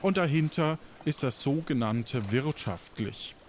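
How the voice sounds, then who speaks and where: A man improvising adventure narration as game master in a casual, halting way. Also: medium pitch, animated, slight background noise.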